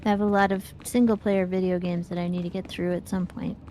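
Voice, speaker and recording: A woman's voice: lowish voice, level tone, quick pace, warm tone, slight background noise. A woman co-hosting a gaming show, chatting casually while she plays.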